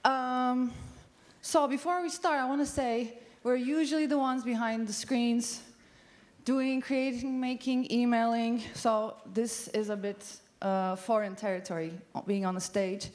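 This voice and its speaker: A woman's voice: fairly high pitch, darkish tone. A woman in her thirties from Turkey giving a conference talk on stage, speaking casually with frequent ums and uhs. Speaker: studio co-founder giving a festival talk. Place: large festival venue stage.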